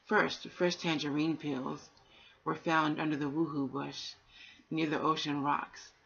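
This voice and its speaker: A woman voicing a solemn wild boar in a bedtime story, giving a deliberate, official report. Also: low voice, level tone, creaky, fairly bright tone, breathy edge, full character voice. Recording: dull, lo-fi home recording.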